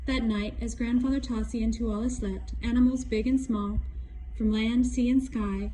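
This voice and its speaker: A woman's voice: fairly high pitch, steady tone, mellow, slight background noise, low-quality recording. A woman reading a children's story aloud, stopping now and then to put questions to her listeners. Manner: pauses to ask explanatory questions. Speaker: storybook reader.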